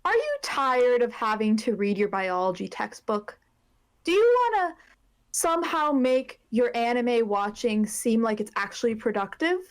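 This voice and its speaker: A woman playing a peppy pitchwoman in a parody commercial, asking salesy questions. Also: big pitch swings, a little slow, smooth. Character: exaggerated peppy commercial pitchwoman selling a show.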